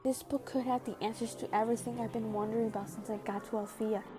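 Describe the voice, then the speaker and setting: Woman voicing a curious teenage heroine, fairly high pitch, eager, sincere, cartoon fairy dub, music behind. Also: steady tone, fast, close to an everyday voice. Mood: pleading. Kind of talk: cartoon fan dub acting.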